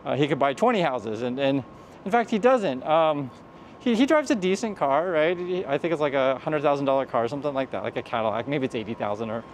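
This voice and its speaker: A man's voice: mid-range voice, animated, brisk, slight background noise. A man vlogging while walking outside, giving earnest advice in a rambling way, with many restarts. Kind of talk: casual vlog commentary while walking. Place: outdoors on a street near traffic.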